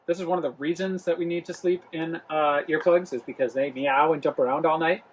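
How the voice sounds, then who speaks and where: A man's voice: fairly high pitch, steady tone, fairly quick, background noise. Young man, casual rambling home review. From Rhode Island. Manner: casual, rambling, hesitant with fillers and restarts. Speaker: travel vlog host. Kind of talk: casual product review vlog. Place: home, living room near the kitchen.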